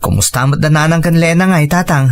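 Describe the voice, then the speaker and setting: Man playing a respectful son, slightly high voice. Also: fast, natural voice. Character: respectful adult son and husband, home from working away. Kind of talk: audio drama dialogue.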